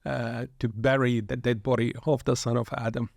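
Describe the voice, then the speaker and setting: Male shaykh, gravelly and level, preacherly podcast guest on a dry mic. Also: mid-range voice, little pitch movement, normal speed, darkish tone, dry recording. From born in England, raised in Sudan. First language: Arabic. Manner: earnest, preacherly, peppered with Arabic phrases. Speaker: guest shaykh, pilgrimage group leader. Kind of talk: reflective religious podcast talk.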